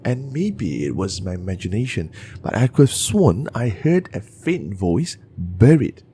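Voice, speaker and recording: A man narrating bedtime horror stories in a deliberate, dramatic way, with pauses. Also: on the low side, very animated, warm tone, slight background noise.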